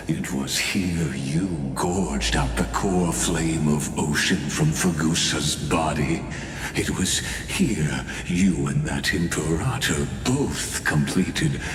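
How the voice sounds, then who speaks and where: An actor playing an ancient, scheming imprisoned villain in a video game, calm and condescending, with theatrical, mocking delivery and dramatic pauses. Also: male voice, rumbling low. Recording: echoey long reverb, music underneath.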